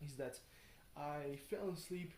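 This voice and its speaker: A tired young man rambles casually through a video diary, halting and thinking aloud, often questioning himself. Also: fairly high pitch, a little mellow. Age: young adult. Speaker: video blogger. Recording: roomy echo, faint background noise.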